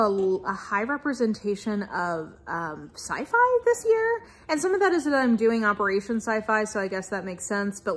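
A woman's voice: medium pitch, breathy edge. A young adult woman, talking casually and emphatically to camera as an enthusiastic, informal book reviewer. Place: small-to-medium living room indoors with bookshelves.

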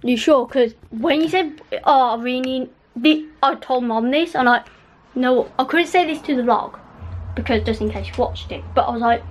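A woman's voice: high-pitched, normal speed, slight background noise. A woman, chatting in a rambling, giggly way while vlogging from a bedroom at night. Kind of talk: casual chat. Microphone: handheld camera.

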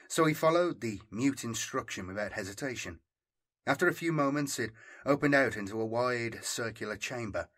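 A man's voice: fairly low pitch, a bit bright. A man reading scripted fiction as an audiobook narrator.

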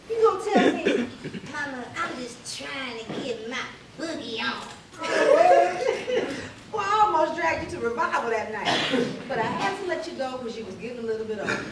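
A woman's voice: sits high, heavily acted. A woman playing a sassy, folksy older mother who teases and exclaims, acting in a stage play. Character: sassy older churchgoing mother and grandmother, teasing her grown son. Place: on stage in a theatre, far camera. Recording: noisy echoey theatre stage, far from microphone.

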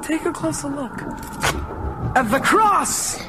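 A woman's voice: sits high, animated, slow, heavy background noise. A woman playing a frightened but resourceful young boy in a radio drama, earnest and fearful. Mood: bold daring. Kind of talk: radio drama narration and acting.

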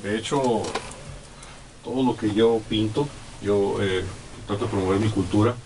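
Mexican male guest, halting speech with fillers, low voice, sits off-mic with faint room hiss. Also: darkish tone, recorded from a distance, rough recording. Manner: halting, many fillers and restarts. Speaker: guest artist. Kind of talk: casual radio interview. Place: small radio studio. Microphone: desk studio mic, sitting back.

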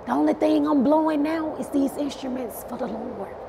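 A woman's voice: high-pitched, moderate pace, noisy background. A woman playing an unashamed reformed streetwalker turned church musician, earnest and matter-of-fact in a comedy sketch. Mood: earnest, defensive. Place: dark indoor parking garage.

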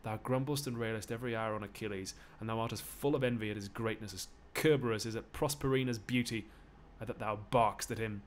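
A man's voice: fairly low pitch, fast, hardly any pauses, slight background noise, close to an everyday voice. A man playing a bitter, railing male slave in a stage play, sneering and ranting out insults. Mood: scornful.

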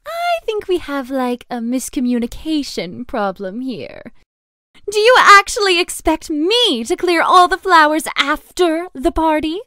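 An actress plays a spoiled young heiress in a voiced visual novel. Her voice is high, bright and very animated, with a haughty, condescending edge. The recording is close and dry. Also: no echo, full character voice. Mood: demanding, condescending. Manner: imperious, condescending.

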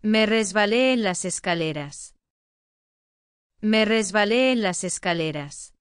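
Woman reading lesson phrases real slow, crisp clear voice, dry close mic. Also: mid-range voice, animated, dry recording. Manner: clear, careful enunciation, each phrase said twice. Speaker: language lesson reader.

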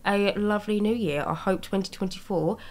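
A young woman with a slightly raspy voice from a cold, chatting casually as she narrates her video-game building. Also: mid-range voice, fairly quick. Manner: chatty, rambling, with small laughs. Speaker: Sims speed-build YouTuber. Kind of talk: casual commentary over gameplay. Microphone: voice-over recorded separately from gameplay.

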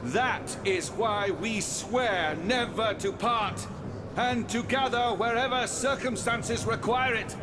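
An actor playing a solemn, defiant revolutionary orator in a video game, declaiming deliberately. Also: male voice, extremely high pitch, noisy background. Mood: resolute, solemn. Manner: declamatory, deliberate, oath-taking.

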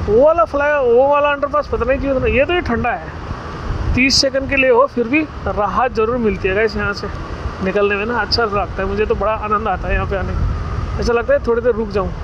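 High-voiced chatty man on a scooter. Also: lo-fi sound. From India. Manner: chatty, addresses viewers as guys. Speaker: vlogger. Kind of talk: casual moto vlog commentary. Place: riding a scooter on city roads, then indoors at an office. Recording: traffic noise of a scooter ride.

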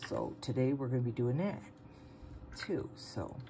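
Low, unhurried woman narrating casually. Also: expressive, gravelly, dark tone. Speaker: farm vlogger. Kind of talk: casual home-video narration. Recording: noisy home phone recording.

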